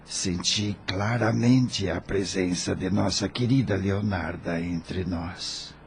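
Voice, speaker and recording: A Brazilian man playing a kindly, calm older fatherly confidant in an audio drama. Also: low-pitched, takes it slowly, creaky, slight background noise, exaggerated voice.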